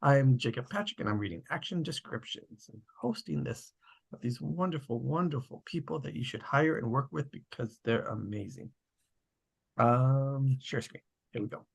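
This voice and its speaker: A man with a slightly husky voice, casually hosting an online table read and narrating the stage directions. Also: medium pitch, lively intonation, normal speed. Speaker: host and stage-direction reader. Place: online video call.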